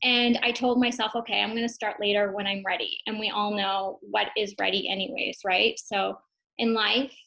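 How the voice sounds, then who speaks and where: A woman's voice: medium pitch, flat delivery, moderate pace, creaky, sharp tone, low-quality recording. A young woman, a mother and online business owner, telling her story in a casual, reflective way. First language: English. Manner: casual, reflective, many fillers and restarts. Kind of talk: personal testimonial talk.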